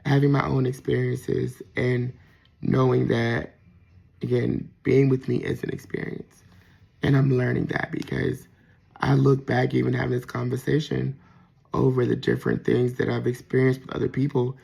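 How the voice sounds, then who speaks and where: A man with a soft, gentle voice that carries a gravelly, creaky texture and a husky, slightly breathy edge. He muses out loud in a casual, personal monologue, stopping and restarting as he gathers his thoughts. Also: mid-range voice, monotone, normal speed, poor audio. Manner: reflective, with frequent fillers and restarts. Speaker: vlogger.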